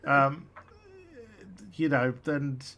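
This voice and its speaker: Host, woman, South African accent, bright forceful tone, animated delivery. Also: extremely low pitch, extremely slow. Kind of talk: casual political chat.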